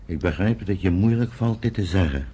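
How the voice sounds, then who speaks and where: A man's voice: low voice, lively intonation, a little fast, dark tone, husky. An actor playing a thoughtful, hesitant pioneer settler in his fifties in a radio drama. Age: 50s.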